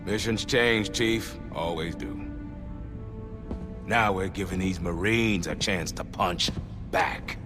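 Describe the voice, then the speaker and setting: An actor playing a seasoned, gruff older sergeant major with blunt military talk in a cinematic game. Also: male voice, low voice, takes it slowly, pauses often, music in the background. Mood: resolute.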